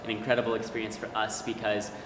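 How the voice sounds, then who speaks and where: A young man speaks earnestly in a flat, even voice. The room is echoey with a long reverb, and clear background noise runs under it. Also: fairly low pitch, fast. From Colorado. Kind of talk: documentary interview.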